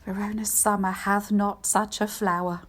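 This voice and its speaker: A woman playing a formal, commanding noble mother in a Shakespearean audio drama, with a brisk, directive manner. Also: medium pitch, level tone, fairly bright tone, natural voice. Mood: persuasive.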